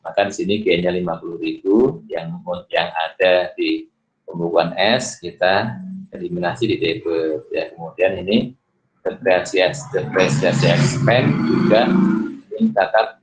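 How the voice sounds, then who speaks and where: A man's voice: deep voice, measured pace, a little hiss or hum, rough recording. A man giving an informal worked-solution lesson as a lecturer, thinking aloud step by step and often asking himself questions before answering. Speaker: accounting lecturer.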